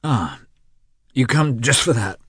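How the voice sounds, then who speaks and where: A man reading aloud and voicing a wild, gaunt, irritable man who speaks in sharp, defiant outbursts. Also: mid-range voice, animated, takes it slowly, slightly rough, dry recording, studio quality, pitched above the performer's own voice, exaggerated voice. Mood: blustering.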